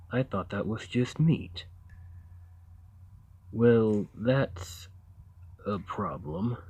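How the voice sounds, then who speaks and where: A man playing a friendly, naive, awkward giant who lives in a cave, in an ASMR roleplay, speaking in a hesitant, earnest, gently upbeat way. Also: medium pitch, breathy edge, dry recording, full character voice. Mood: naive, puzzled. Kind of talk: ASMR roleplay, acted monologue to the listener.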